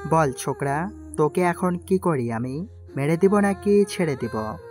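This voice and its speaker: A man's voice: a little high, expressive, a little slow, darkish tone. A man voicing a brooding, vengeful gangster in an audio story, curt and commanding. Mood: menacing. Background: faint music.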